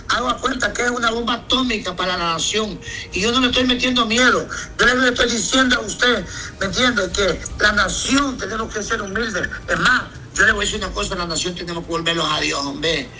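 A man's voice: sits high, normal speed, bright, forceful tone, slight background noise, rough recording. A man, a pastor answering interview questions emphatically and often asking if he's understood. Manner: emphatic, repeats "me entiende".

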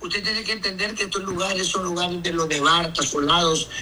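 A man's voice: high voice, flat delivery, moderate pace, pushed and bright, slight background noise. A man, a pastor answering interview questions emphatically and often asking if he's understood. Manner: emphatic, repeats "me entiende".

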